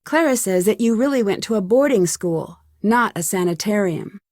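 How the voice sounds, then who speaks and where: An actress with an American accent playing an inquisitive, determined young amateur detective in a video game, speaking clearly and questioningly. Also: female voice, mid-range voice, animated, normal speed, a little mellow, dry recording, studio quality, lightly acted. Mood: curious, probing. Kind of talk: scripted video game dialogue.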